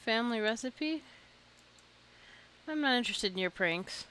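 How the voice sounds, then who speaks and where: Slow-talking woman chatting through a game. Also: fairly high pitch, a little hiss or hum. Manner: relaxed, chatty, talks to the game characters, laughs often. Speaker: gaming video creator. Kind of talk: casual gameplay commentary.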